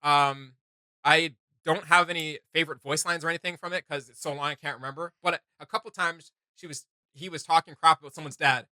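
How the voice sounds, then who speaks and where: A man co-hosting a casual reaction video, talking in an animated, rambling way and searching for words aloud. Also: fairly high pitch, fairly quick, no echo. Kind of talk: casual rambling discussion to viewers.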